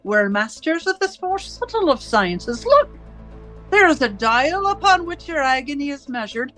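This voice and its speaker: A woman playing a cold, domineering interrogator in a radio drama, speaking in deliberate, commanding, rhetorical questions and pronouncements. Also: high-pitched, sing-song, fairly bright tone, faint music behind, heavily acted, poor audio. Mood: cold, measured menace. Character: cold, domineering party interrogator and torturer, righteous and controlling. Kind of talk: theatrical radio drama acting.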